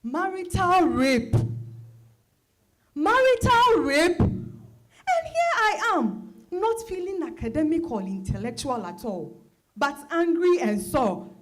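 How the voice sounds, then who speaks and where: A woman playing a weary, wounded but defiant career wife, deliberate and emotive, in a dramatized novel reading. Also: extremely high pitch, big pitch swings, faint music behind, exaggerated voice. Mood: anguished, angry.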